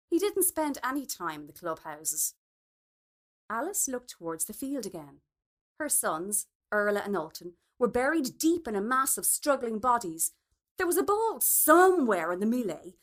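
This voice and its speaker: A woman narrates literary fiction. Her voice sits fairly high and moves with lively, varied intonation. She reads expressively, as a storyteller does. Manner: expressive, acts out dialogue. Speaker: short story reader.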